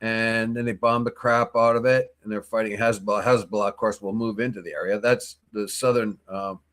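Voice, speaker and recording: A man co-hosting a morning news talk show, with a voice that sits on the low side. He talks through the news in a loose, opinionated ramble, often restarting his sentences. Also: steady tone, brisk, fairly bright tone. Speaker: co-host.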